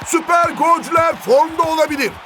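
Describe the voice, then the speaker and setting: A man's voice: very high voice, brisk, background noise. A man playing a wry, theatrical football commentator in a cartoon, teasing and showy.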